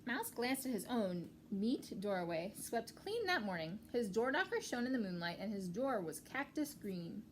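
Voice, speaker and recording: A woman's voice: mid-range voice, sing-song, normal speed, few pauses, slight background noise. A woman reading a picture book to young children, explaining things in simple, repeated words like a teacher. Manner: clear, simple explaining with repetition for small children. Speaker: children's story-time reader and teacher.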